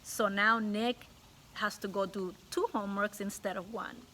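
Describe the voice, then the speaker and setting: A woman teacher reading a storybook aloud to children, pausing to ask questions and explain things simply. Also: medium pitch, steady tone, bright, forceful tone, slight background noise.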